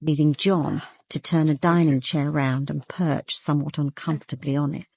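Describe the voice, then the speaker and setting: A woman's voice: deep voice, steady tone, normal speed, creaky, darkish tone, husky, no echo, heavily acted. An actress playing a composed, observant woman detective who narrates a radio drama in the first person. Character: observant, guarded young woman detective narrating her case.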